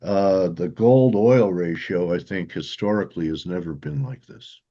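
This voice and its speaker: A man's voice: sits low, normal speed, mellow. A man hosting an interview in casual, chatty style over dull, lo-fi audio. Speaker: interview host. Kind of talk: casual chat.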